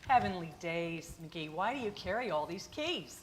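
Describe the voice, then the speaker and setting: An actress playing a wry, patient but exasperated wife in old-time radio comedy, dry and teasing. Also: female voice, fairly low pitch, lively intonation, moderate pace, close to an everyday voice. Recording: noisy recording.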